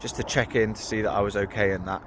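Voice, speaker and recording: A man's voice: on the low side, fairly even intonation, quick pace, background noise. Rambling young man vlogging outdoors. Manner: rambling, informal, with fillers and laughs. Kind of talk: casual storytelling to camera.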